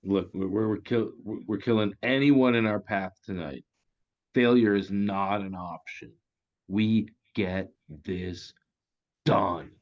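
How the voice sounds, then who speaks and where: A man reading the part of a dogged, obedient henchman who stammers his pledges in a halting way. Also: lowish voice, fairly even intonation. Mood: grimly determined. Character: dogged, obedient male henchman of a crime boss. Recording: dull lo-fi online call.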